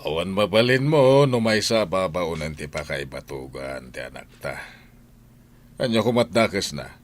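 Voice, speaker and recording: A man acting a calm older father and head of a household in an Ilocano radio drama. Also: low voice, normal speed. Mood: calm, reasoning.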